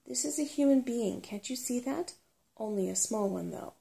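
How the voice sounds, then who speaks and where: A stout little dwarf man from a storybook, acted out by a woman reader. He is blunt, suspicious and self-important. The voice is creaky and soft-edged. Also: on the high side, animated, moderate pace. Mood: blunt, worried. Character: tiny stout old male dwarf, bossy, suspicious, excitable.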